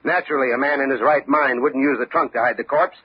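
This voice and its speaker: An actor playing a shrewd, unflappable police lieutenant in a radio detective drama, speaking methodically and with confidence. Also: male voice, mid-range voice, fast talker, husky, natural voice. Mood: coolly accusing. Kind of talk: old-time radio detective drama acting. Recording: dull vintage radio recording.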